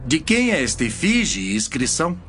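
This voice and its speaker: Authoritative male teacher of scripture, played by a man, solemn delivery with wide, lively inflection, soft music beneath. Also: fairly high pitch, brisk. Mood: firm, challenging. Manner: solemn, emphatic, declamatory. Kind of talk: dramatized scripture audio reading.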